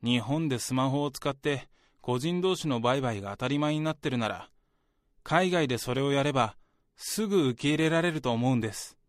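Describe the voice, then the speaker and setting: A man's voice: mid-range voice, moderate pace, dry recording, clean studio sound, lightly acted. A man playing an ambitious, self-assured young office worker in a radio drama, speaking politely and earnestly to his senior. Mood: eager, talkative. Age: young adult. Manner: polite junior speech, talkative about ambitions. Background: background music.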